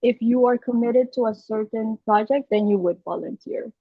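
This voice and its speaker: A woman's voice: on the high side, normal speed, no echo. A young woman from Aruba with a Filipino accent, a podcast guest explaining her views earnestly, step by step, with small restarts. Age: young adult. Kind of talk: conversational interview. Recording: dull dry lo-fi call audio.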